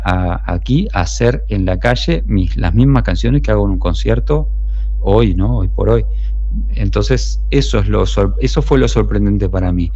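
Middle-aged uruguayan musician, low mellow voice, rambling and reflective, muffled interview recording. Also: male voice. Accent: Uruguayan Spanish. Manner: thoughtful, rambling with many restarts. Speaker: street musician and songwriter. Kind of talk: relaxed interview conversation. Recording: dull muffled sound.

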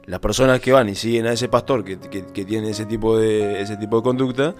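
Male co-host, low voice, hesitant delivery, faint guitar underneath. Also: steady tone, fairly quick. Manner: hesitant, many fillers and restarts. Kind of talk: casual talk-show chat.

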